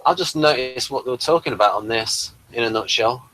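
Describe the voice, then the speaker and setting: A man's voice: medium pitch, fairly quick, sharp tone, a little hiss or hum, poor audio. A man, hosting a casual review chat. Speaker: host of a TV review show.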